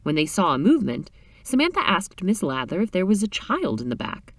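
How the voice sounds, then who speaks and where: A woman reading a short story aloud in an expressive, dramatic storytelling style. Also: lowish voice, brisk, no room sound, far from the mic. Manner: animated, dramatic reading with character voices.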